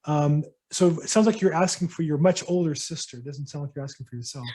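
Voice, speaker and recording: A middle-aged man, a real estate broker, answering questions at a workshop in a casual, halting way with many ums and restarts. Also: a little high, mellow, a little hiss or hum. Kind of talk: casual advice to attendees.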